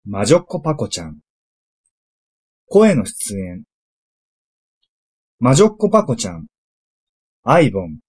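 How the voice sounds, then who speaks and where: A man's voice: mid-range voice, huge pitch swings, a little slow, long pauses, a little mellow, husky. A man reading scripted, storybook-like narration for a comic radio drama.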